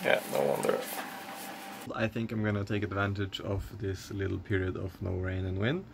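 A man's voice: sits low, moderate pace, gravelly. A young man talks casually and informally into a handheld camera, thinking aloud as he vlogs aboard a small sailboat. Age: young adult. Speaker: sailing vlogger. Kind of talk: casual vlog commentary. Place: small sailboat cockpit and cabin. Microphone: handheld camera at arm's length. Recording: noisy on-board camera audio, steady hum.

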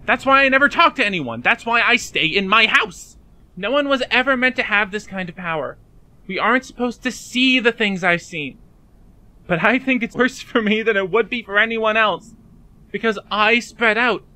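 Fan-dub drama role: despairing young man played by a man, high and sharp-edged, halting, anguished, pleading. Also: animated, no room sound, heavily acted. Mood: agitated, scared.

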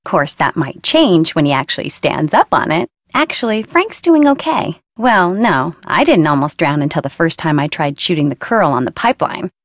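A woman's voice: low-pitched, sing-song, moderate pace, few pauses, no echo, clean studio sound. An actress voicing a cocky, breezy young woman who teaches surfing, in a video game. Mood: breezy, boastful. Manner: breezy, boastful, teasing. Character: cocky, easygoing young woman surf instructor. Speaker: surfing coach.

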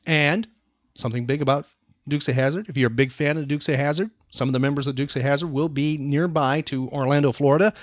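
A man hosting a podcast in casual banter with a co-host, talking in an animated, teasing way and repeating himself for emphasis. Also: a little high, fast talker, no room sound, muffled recording.

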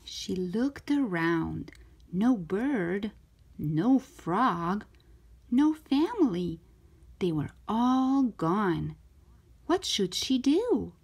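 A woman reads a children's story aloud with lively, swooping pitch and a slow pace. Her voice is a little husky, and she shapes each short sentence carefully and expressively for young listeners. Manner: animated, careful storytelling delivery.

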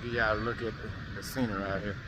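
A man's voice: on the low side, level tone, fast. A man, casually narrating a vlog outdoors, filmed on a phone held at arm's length. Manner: laid-back, loose, drawled asides. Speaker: vlogger. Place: outdoors on a sunny beach. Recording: muffled phone audio, wind and surf noise.

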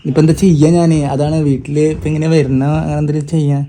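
A man's voice: fairly high pitch, flat delivery, quick pace, dark tone. A young man with a Kerala Malayalam accent, chatting casually and pleading hesitantly, as in a prank phone call. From Kerala, India. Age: young adult. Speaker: prank caller in a comedy channel. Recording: faint background noise.

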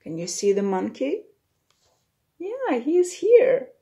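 A middle-aged woman reads a children's storybook aloud at an unhurried pace. Her voice sits fairly high and has a noticeable creak. She pauses to explain simple words and ask the young listeners questions. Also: wildly swooping pitch, mellow. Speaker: children's teacher reading aloud. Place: small plain room indoors.

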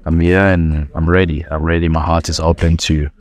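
Bachelor, thirties, from South Africa, very low and even-toned, laid-back. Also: male voice, a little fast. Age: 30s. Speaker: bachelor contestant. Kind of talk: casual self-introduction.

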